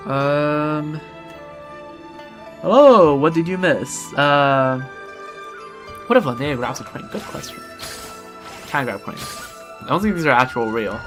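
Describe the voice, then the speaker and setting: A man's voice: fairly high pitch, expressive, slow, pauses often, over music. A young man chatting casually while playing a game on a livestream, rambling with lots of restarts and self-deprecating asides. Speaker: streamer. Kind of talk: casual gameplay livestream chat.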